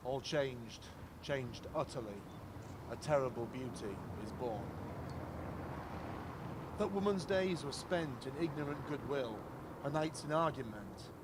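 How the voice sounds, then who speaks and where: A man reciting poetry in a measured, declamatory way at an outdoor public reading. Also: mid-range voice. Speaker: poetry reader at a commemoration. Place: outdoors in a city square. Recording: outdoor public recording with clear traffic noise.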